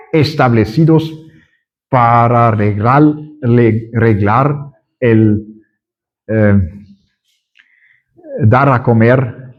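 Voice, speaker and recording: A man preaching a sermon in a language that is not his own, working through it very slowly and haltingly, with frequent fillers and restarts. His voice sits at an ordinary middle pitch. The recording is dull and lo-fi. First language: not Spanish. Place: church conference hall.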